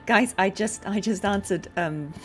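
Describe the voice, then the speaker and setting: A woman's voice: medium pitch, a little slow, faint music behind. A young woman, a voice actor, chatting casually while streaming a game, thinking aloud with lots of fillers.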